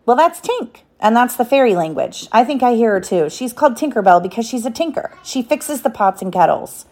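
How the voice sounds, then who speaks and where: A woman's voice: fairly high pitch, big pitch swings, brisk, mellow, voice pushed up. Storybook boy adventurer played by a woman: cocky, eager, blunt, boastful. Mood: excited. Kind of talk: children's storybook read aloud.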